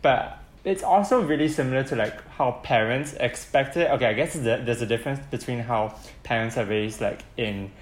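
A young man on a podcast giving a thoughtful, measured take, pausing to find his words. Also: medium pitch, echoey room. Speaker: podcast co-host. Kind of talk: casual chat.

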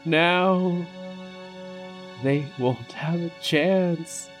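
A dying old park founder grieves in a fan audio drama, played by a man. His words come out slowly and haltingly, each phrase drawn out. Music plays softly behind him. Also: a little high. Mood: grieving. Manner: slow, faltering, weak.

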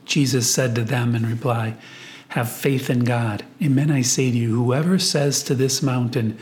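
A man with a mid-pitched voice leads a guided meditation as a priest would. He speaks in a reflective, prayerful way, taking his time through prayer and scripture. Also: steady tone, moderate pace, a little mellow.

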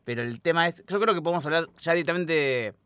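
A man with a Rioplatense Argentine accent co-hosting a film podcast in a relaxed, rambling, teasing way. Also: on the high side, fast talker, clear, resonant tone, dry recording. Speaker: podcast co-host. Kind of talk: casual film podcast chat. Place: studio.

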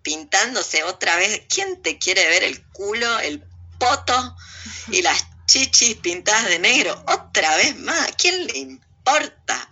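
A woman speaks as an interview guest in a very bright, tense voice. She is blunt and sardonic, stressing her opinions with emphatic repetition. The sound is a bit muffled and lo-fi. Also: medium pitch, sing-song, slow pace, slightly rough. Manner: blunt, sardonic, emphatic, repeats phrases for effect. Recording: muffled lo-fi audio.

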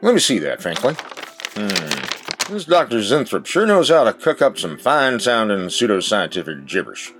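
An actor playing a wry, sceptical know-it-all in a satirical audio drama, dry and self-assured. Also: male voice, lowish voice, wildly swooping pitch, moderate pace, fairly bright tone, faint music behind. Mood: dry scoffing.

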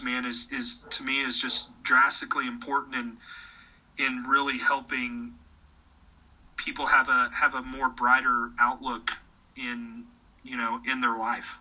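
A man in his thirties talks with real conviction in an easygoing chat. His voice is strikingly bright and forceful, and he often repeats words as he gets a sentence going. The recording sounds slightly dull and lo-fi. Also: medium pitch, level tone, normal speed. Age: 30s. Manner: earnest, repeats words when starting sentences. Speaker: podcast guest. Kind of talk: casual conversation.

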